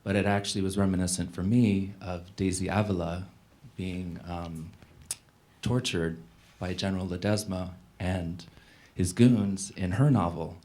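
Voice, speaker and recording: A man posing an unscripted question at a public event in a measured, formal, polite way. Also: sits low, steady tone, a little mellow. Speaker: audience member asking a question.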